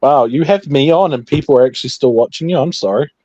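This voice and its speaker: Male stream guest, late 20s, dark mellow tone, self-deprecating banter, close dry sound. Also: mid-range voice, fast, dry recording, lo-fi sound. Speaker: livestream guest. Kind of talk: casual chat.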